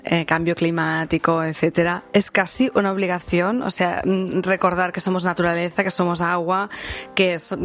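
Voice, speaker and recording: A woman with a Catalan accent hosting a conversational interview, chatty and often ending with questioning tags. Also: fairly low pitch. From Catalonia, Spain. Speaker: interviewer, host.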